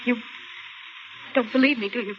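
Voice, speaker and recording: An actress playing a shaky, frightened young woman in a 1940s radio drama, with halting, stammering delivery. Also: on the high side, steady tone, slight background noise, lightly acted. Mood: shaken. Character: frightened, shaky young woman insisting she saw a corpse. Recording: muffled old radio broadcast with music.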